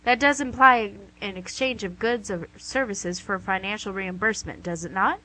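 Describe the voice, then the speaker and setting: Devil for radio comedy, played by a woman: bright, forceful voice, smug salesmanship, teasing rhetorical questions. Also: mid-range voice, moderate pace, rough recording. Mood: pushy, wheeling-dealing. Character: the Devil, wheeling-dealing, smug, teasing tempter. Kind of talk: radio play comedy acting.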